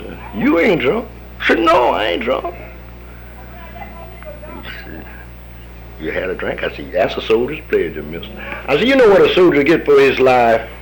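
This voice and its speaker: Deep-voiced man in his fifties, lively storyteller. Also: slight background noise, poor audio. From United States. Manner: folksy, acts out the voices in his stories. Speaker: interviewee, army veteran. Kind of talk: oral history storytelling.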